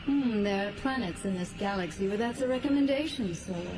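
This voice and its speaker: A woman's voice: mid-range voice, expressive, fairly quick, natural voice. An actress playing a flirty, sardonic female spacer in a sci-fi audio drama. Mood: teasing. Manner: teasing, sardonic. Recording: clear ambient noise.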